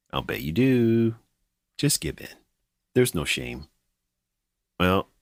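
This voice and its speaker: A man's voice: sits low, no echo, studio quality. A middle-aged man hosting a podcast, talking in a wry, chatty, self-mocking way. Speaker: podcast host. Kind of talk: casual scripted podcast narration.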